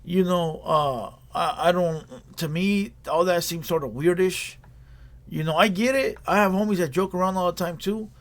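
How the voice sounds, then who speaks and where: A man's voice: sits high, moderate pace. A man hosting a reaction video, giving casual commentary in a blunt, slangy, repetitive lecturing way.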